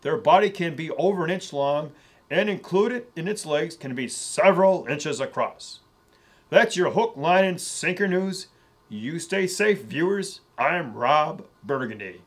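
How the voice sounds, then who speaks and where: Man as smug anchorman, husky, swinging pitch, a bit high. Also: lively intonation, a little slow. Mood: pompous. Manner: pompous anchorman delivery. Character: pompous mustached male news anchor, adult. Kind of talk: parody news segment. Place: studio in front of a virtual news set.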